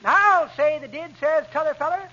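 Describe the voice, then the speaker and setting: A man's voice: very high-pitched, moderate pace, sharp tone. An actor playing a folksy, drawling old man who teases and spins tall yarns in a vintage radio comedy. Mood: folksy, amused. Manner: drawling, rustic, teasing. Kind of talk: 1940s radio comedy acting. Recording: muffled, noisy vintage radio recording.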